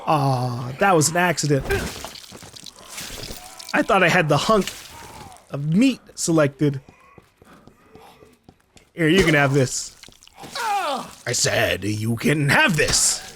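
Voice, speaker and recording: High-pitched, animated male gamer. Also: slow, pauses often, background noise. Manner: playful, joking. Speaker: gaming video host. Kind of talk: casual let's play commentary.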